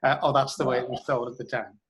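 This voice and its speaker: Middle-aged male, mid-pitched, reflective interviewee; measured, hesitant, anecdotal delivery. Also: little pitch movement, fairly bright tone. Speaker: writer interviewed about audio drama scripts.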